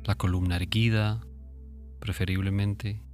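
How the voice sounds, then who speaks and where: A man leading a guided relaxation meditation in a calm, soothing, instructive way with long pauses. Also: fairly low pitch. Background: music.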